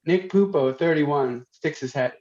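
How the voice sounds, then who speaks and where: A man's voice: a little high, a little slow, darkish tone. Man reading stage directions, dull sound. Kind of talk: scripted narration.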